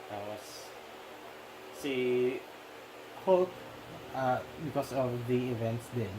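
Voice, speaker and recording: A man with a Filipino accent leading a casual film discussion, often pausing to search for words. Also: medium pitch, lively intonation. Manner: halting, searching for words, mixes in Tagalog fillers. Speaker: discussion leader. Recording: noticeable background noise.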